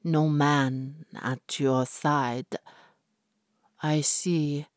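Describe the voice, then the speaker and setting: A woman voicing an ominous, hostile fortune teller in a story, halting and dramatic, with a fake foreign accent. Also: sits low, fairly even intonation, no room sound, clean studio sound.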